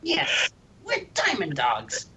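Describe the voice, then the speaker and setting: High, swooping, bossy dog thug. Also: male voice, wildly swooping pitch, slow, bright, forceful tone, slight background noise, exaggerated voice. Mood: greedy. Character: bossy male diamond dog gang leader, greedy.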